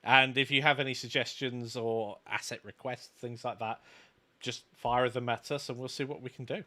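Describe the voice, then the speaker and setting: A man with a bright, forward voice talks casually as co-host of a live stream. He explains in a halting, stop-start way, in a medium pitch. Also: fairly even intonation. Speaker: livestream co-host, game development course maker.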